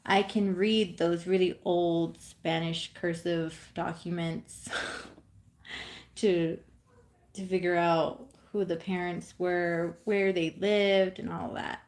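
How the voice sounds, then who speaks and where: Thirties woman, casual home streamer, slow rambling delivery, lots of ums and soft laughs. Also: on the low side, little pitch movement. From California. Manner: knits while talking. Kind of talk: casual live-stream chat.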